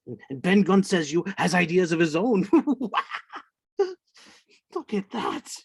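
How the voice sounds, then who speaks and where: Old marooned pirate, husky and breathy, slow rambling delivery, eager and sly, radio drama. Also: mid-range voice, expressive, dry recording, heavily acted. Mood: confiding. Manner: rambling, repetitive, cackling, rustic sailor speech. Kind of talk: radio play acting. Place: indoors, remote online reading. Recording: dry video-call recording.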